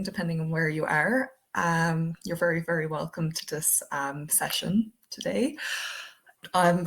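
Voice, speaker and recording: A young Irish woman with an Irish accent and a low, deep-set voice hosts an online webinar. She talks in a chatty, loose way, filling gaps with "um" and "I suppose". She sounds as if she is speaking into a computer microphone on a video call. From Ireland. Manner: chatty, many fillers like "um" and "I suppose". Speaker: webinar presenter. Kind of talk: webinar presentation.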